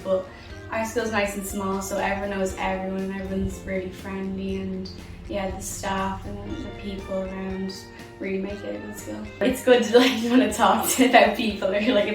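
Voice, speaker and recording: A sixteen-year-old Irish girl answering interview questions casually, filling her talk with "like". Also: medium pitch. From Ireland. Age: teens. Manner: chatty, lots of "like" fillers. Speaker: former student interviewee. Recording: echoey room, distant mic, music underneath.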